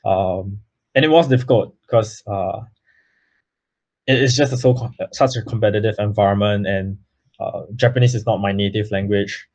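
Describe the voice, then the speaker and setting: A man's voice: on the low side, normal speed, darkish tone. A young man from Singapore, giving earnest interview answers full of fillers and restarts. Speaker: guest, baseball player. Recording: slightly muffled lo-fi audio.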